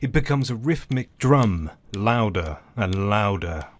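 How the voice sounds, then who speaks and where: Slow-paced male narrator. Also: lowish voice, expressive. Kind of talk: scripted audio drama narration.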